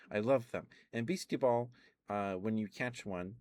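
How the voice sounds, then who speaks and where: A man softly rambling about video games in a relaxed ASMR stream, with many ums and uhs. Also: lowish voice, no room sound. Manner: relaxed, quiet and unhurried.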